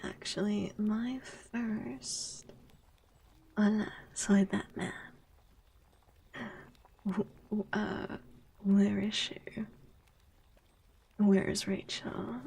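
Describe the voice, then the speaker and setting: Slow husky whispering woman. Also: mid-range voice. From United States. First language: Spanish. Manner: soft whispering, reading chat aloud, giggly. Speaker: VTuber streamer. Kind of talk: whispered ASMR stream chat.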